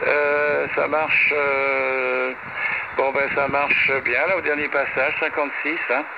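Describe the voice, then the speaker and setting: A man's voice: mid-range voice, flat delivery, takes it slowly. An older man chatting casually over amateur radio, rambling and repeating himself with frequent tag words. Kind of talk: casual ham radio chat with call signs. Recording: noisy, dull amateur radio link. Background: radio noise.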